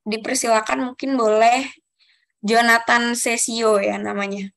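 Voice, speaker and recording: A woman's voice: fairly high pitch, flat delivery, measured pace, vocal fry. Young woman facilitating a video call. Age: young adult. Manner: polite, reads rules out one by one with soft fillers. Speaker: discussion facilitator. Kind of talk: leading an online group discussion.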